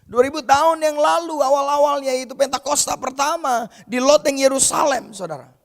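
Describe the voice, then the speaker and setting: A male pastor preaching a sermon in a conversational way, often calling his listeners "brother" and acting out the people in the story. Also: very high-pitched. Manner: addresses listeners as "saudara", retells scripture with quoted voices; conversational and informal, with casual asides.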